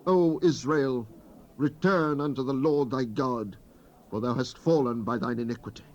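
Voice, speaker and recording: A man's voice: mid-range voice, normal speed, darkish tone, lightly acted. A man playing a solemn Old Testament prophet who speaks for God in a declamatory, oratorical manner, in a dramatized scripture recitation from the 1970s. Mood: wrathful, commanding. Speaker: dramatized Bible reader. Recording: dull hissy cassette tape rip.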